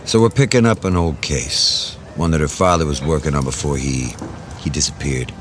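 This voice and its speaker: An actor playing a gruff, dry-humored police detective in a noir audio drama. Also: male voice, very deep voice, normal speed, a little hiss or hum. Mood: businesslike.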